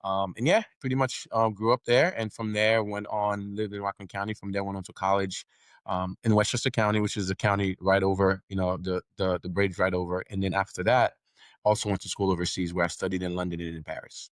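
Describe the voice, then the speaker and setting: A man's voice: sits low, flat delivery, a little fast, no echo. A middle-aged man from Haiti with a Haitian accent, whose first language is Haitian Creole, talking confidently as a podcast guest. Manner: confident, businesslike, repeats words while building a point. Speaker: podcast network founder, guest. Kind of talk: casual interview talk.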